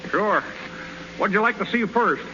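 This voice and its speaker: An actor playing a brash, boastful and naive young rookie pitcher with folksy slang in a 1930s radio comedy. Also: male voice, lively intonation, measured pace. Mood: cocky. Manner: cocky, loud, folksy slang. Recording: muffled, noisy 1930s radio broadcast recording.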